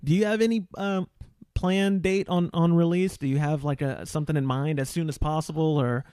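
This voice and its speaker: A man's voice: fairly high pitch, fairly even intonation, normal speed, darkish tone, clean tone, no room sound, clean studio sound. A man hosting a casual podcast interview, chatty and given to joking, teasing asides. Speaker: podcast host. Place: indoor studio.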